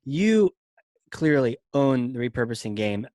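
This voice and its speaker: A man hosts a podcast in a loose, playful, rambling way, often leaning on "like". His voice sits at a middle pitch. The sound is dry and close, with no room echo. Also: big pitch swings, measured pace, darkish tone. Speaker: podcast host. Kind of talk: casual interview chat.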